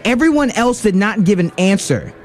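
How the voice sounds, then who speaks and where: A man's voice: high-pitched, lively intonation, moderate pace. A man co-hosting a radio show, giving heated political commentary with many fillers and restarts.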